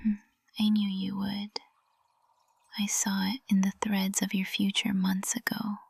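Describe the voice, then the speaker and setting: Woman acting a breathy, whispering witch teacher in love. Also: mid-range voice, completely flat delivery, no room sound, full character voice. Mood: tender, confessing. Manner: slow, hushed, intimate, adoring. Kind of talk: intimate ASMR whispered roleplay. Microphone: close ASMR microphone.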